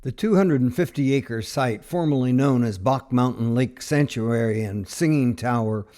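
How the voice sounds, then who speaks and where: Male travel narrator, mellow and relaxed tone, folksy and informal, halting delivery. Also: medium pitch. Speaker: travel show host and narrator.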